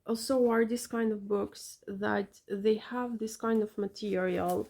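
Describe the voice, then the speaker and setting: Gravelly-voiced woman with an Albanian accent. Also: mid-range voice, fairly even intonation, a little mellow. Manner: earnest, measured, non-native phrasing. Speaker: parent vlogger. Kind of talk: casual advice vlog.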